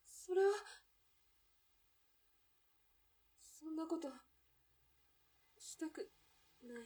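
A woman's voice: very high-pitched, expressive, takes it slowly, mellow, full character voice. An actress playing a despairing young woman grieving her lover in a melodramatic Japanese radio drama. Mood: pleading, refusing. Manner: anguished, pleading, emotionally overwrought. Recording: distant from the mic.

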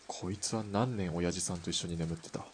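A man playing a terse, cool sniper in a Japanese audio drama, deadpan and unhurried when talking shop. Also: low-pitched, very noisy, close to an everyday voice. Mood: cool, absorbed.

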